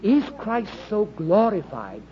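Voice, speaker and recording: A man's voice: sits high, big pitch swings, slow, warm tone. A man with a British accent preaching an evangelical sermon with impassioned delivery, repeating phrases for emphasis and putting rhetorical questions to his listeners. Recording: dull, lo-fi sermon recording.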